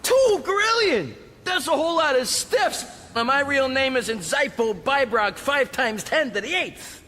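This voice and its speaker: An actor playing a brash, laid-back alien judge who talks in slangy hipster patter in a radio comedy. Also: male voice, very high-pitched, expressive, a little slow, pushed and bright, exaggerated voice. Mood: cocky, impatient. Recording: long echoing hall, noisy ambience.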